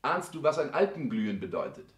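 A man's voice: mid-range voice, big pitch swings, brisk, close to an everyday voice. Commanding careerist son in a roomy radio play, acted by a man. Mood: persuasive, eloquent. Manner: formal, declamatory, commanding stage diction. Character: calculating, domineering careerist son, coldly eloquent. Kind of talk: 1960s German radio play acting.

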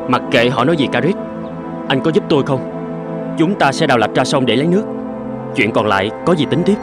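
Man voicing an earnest, lively young prospector. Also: mid-range voice, brisk, lightly acted. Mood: firm, calm. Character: resourceful, determined young male gold prospector. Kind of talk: cartoon dub acting. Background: music.

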